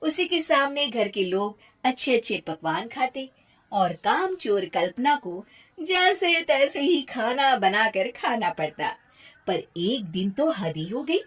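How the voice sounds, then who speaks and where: A woman's voice: on the high side, sing-song, takes it slowly, fairly bright tone. A woman narrating a scripted moral tale as a storyteller.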